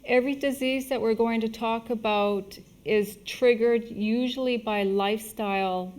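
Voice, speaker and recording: A woman's voice: a little high, steady tone, unhurried, darkish tone. Woman lecturing in short phrases. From Canada. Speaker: health lecturer, medical missionary. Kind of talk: public teaching talk, pausing for an interpreter.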